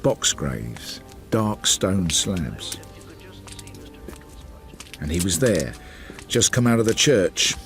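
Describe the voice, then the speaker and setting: A Victorian detective narrating a radio drama. The voice is low and slow yet nicely varied in pitch. He speaks in short, understated, reflective phrases. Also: very animated, gravelly, fairly bright tone, soft background music, exaggerated voice. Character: reflective Victorian detective recalling an old case.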